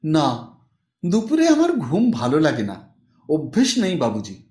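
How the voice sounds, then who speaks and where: An earnest, deferential young village woman in an audiobook story, voiced by a man. She talks simply and devotedly with lively swings in pitch, in a room with clear echo. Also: unhurried. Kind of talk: audiobook character dialogue. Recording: roomy, echoing voice recording.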